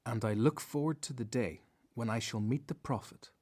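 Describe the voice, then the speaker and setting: An actor playing a devoted, reflective disciple who narrates his own story in a biblical radio drama, solemn and measured. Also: mid-range voice, a little mellow, natural voice. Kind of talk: dramatized first-person narration.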